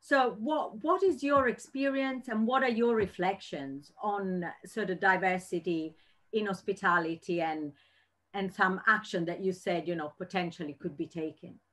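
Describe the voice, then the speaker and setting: A woman's voice: medium pitch, moderate pace, fairly bright tone. A woman moderating an online panel discussion over a video call, asking long, rambling questions with plenty of fillers.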